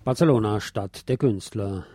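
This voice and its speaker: A man's voice: fairly low pitch, moderate pace, over music. A man presenting scripted news and commentary on the radio, reading as a presenter.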